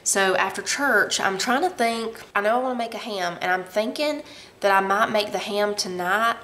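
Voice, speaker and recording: A woman's voice: medium pitch, normal speed, bright, forceful tone, a little hiss or hum. A woman, chatting casually to camera in her living room, rambling and laughing as she goes. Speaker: family vlogger. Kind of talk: casual vlog chat.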